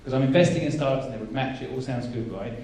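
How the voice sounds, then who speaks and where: A man's voice: mid-range voice, fast talker, darkish tone, a little hiss or hum. A man speaking bluntly and emphatically as a panelist, often restarting his sentences. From England. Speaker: panelist, angel investor. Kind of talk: panel discussion. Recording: echoey hall, distant mic.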